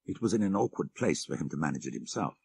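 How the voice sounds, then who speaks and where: A male narrator reads a classic tale of horror in a very low voice with a husky grain. His delivery is measured and literary, swinging widely in pitch for dramatic effect. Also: expressive, a little mellow, no room sound. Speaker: audiobook narrator. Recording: 1990s audiobook cassette.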